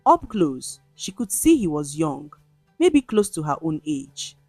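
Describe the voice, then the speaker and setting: A woman storyteller reading a scripted folktale in a dramatic, measured way. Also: low-pitched, sing-song, darkish tone, slightly rough, no room sound, faint music behind.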